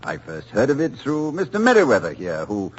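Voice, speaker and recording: A man's voice: medium pitch, wildly swooping pitch, fairly quick, breathy edge. An actor playing a cheerful, stammering male college president in a 1940s radio drama, on a muffled old broadcast recording. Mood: gracious. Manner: hesitant, stammering, chuckling.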